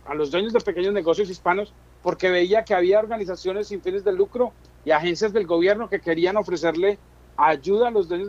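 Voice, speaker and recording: A man's voice: high voice, highs cut off. A middle-aged man with a Colombian accent, telling his own story as an interview guest in conversational Spanish. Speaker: interview guest, business chamber chairman.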